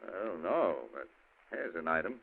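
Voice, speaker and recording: A bumbling police lieutenant in a 1940s radio drama. His voice is very deep yet swings widely in pitch. It comes through thin and tinny like an old broadcast. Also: expressive, a little slow, heavily acted. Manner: hesitant, fumbles names.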